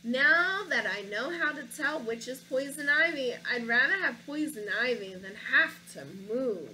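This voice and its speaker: A woman teacher reading a picture book aloud to children, with an expressive storytelling delivery, stopping to ask her young listeners questions. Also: high voice, unhurried, a little hiss or hum, low-quality recording.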